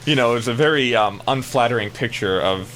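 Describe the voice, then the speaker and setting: A man's voice: mid-range voice, brisk, fairly bright tone, slight background noise. An American man chatting casually in a rambling, hesitant way, often trailing off before he finishes. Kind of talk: casual banter.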